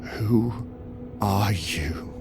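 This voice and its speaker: A rattled Victorian surgeon in a horror audio drama. His voice sits a little low, tense and halting, troubled and angry, over prominent music. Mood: demanding, angry. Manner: tense, halting, dropping to whispers.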